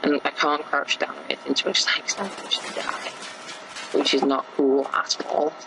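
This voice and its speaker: Teen boy, sore gravelly throat, flat rambling game commentary, faint hiss behind. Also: on the high side, moderate pace. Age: teens. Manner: rambling, many fillers and restarts. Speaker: gameplay commentator. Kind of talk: casual gameplay commentary.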